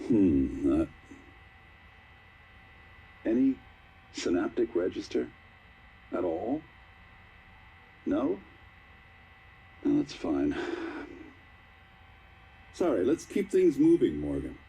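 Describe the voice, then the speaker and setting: Game scientist: encouraging, fussy test administrator, slightly low husky voice, polite and halting. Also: male voice, very animated, dark tone, heavily acted, poor audio. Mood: halting, coaxing. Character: upbeat, fussing scientist running tests on a subject. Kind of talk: video game voice acting.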